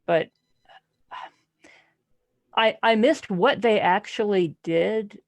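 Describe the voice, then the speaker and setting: Creaky-voiced woman, dry and analytical. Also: mid-range voice, extremely slow, dry recording. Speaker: podcast panelist. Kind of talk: casual book club discussion.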